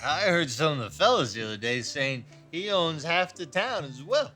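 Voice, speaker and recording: A rambling bar patron, played by a man, with a high, lively, bright voice. He talks in a hesitant, roundabout way, with faint music and an instrument behind him. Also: normal speed. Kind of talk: noir parody acting.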